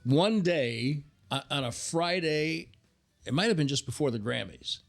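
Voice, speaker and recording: A man chatting casually in a conversational storytelling way, often halting and repeating himself as a guest on a talk show. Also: on the high side, expressive, creaky, faint music behind.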